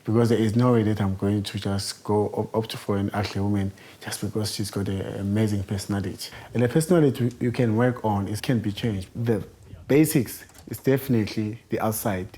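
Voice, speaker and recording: A man talks candidly in an interview, with a low and mellow, dark voice. He is blunt and emphatic. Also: fairly quick, breathy edge. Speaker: documentary interviewee.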